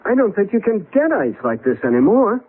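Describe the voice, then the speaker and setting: American male, skeptical young lawyer husband; animated, wry, easily flustered; seventies radio mystery style, lo-fi broadcast sound. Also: sits high, fairly quick, husky, exaggerated voice. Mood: flustered, indignant. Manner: wry, exasperated, stammers when alarmed. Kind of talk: 1970s radio drama acting. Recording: band-limited old radio broadcast.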